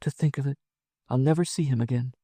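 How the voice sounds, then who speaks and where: A male narrator playing a tender young heroine of a frontier storybook. The voice is lifted higher, soft and hesitant, pleading anxiously with a lover in a dry recording. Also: fast talker, husky, dry recording, lightly acted. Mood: anxious, torn. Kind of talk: audiobook character dialogue.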